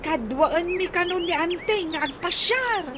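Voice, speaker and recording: Woman acting a very high-pitched eager young Ilocano daughter. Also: lively intonation, unhurried, noisy background. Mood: earnest. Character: young student daughter Kathleen, eager and dutiful. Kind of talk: radio comedy drama acting.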